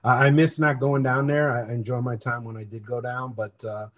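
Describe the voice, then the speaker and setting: A man's voice: medium pitch, level tone, a little fast. Earnest middle-aged man telling his story. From born in Colombia, grew up in New Jersey. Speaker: interview guest. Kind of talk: conversational personal storytelling.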